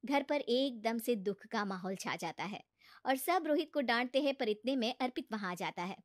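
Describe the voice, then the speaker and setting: High-voiced woman narrating a story. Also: lively intonation, moderate pace, no echo, studio quality. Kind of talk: scripted children's story narration.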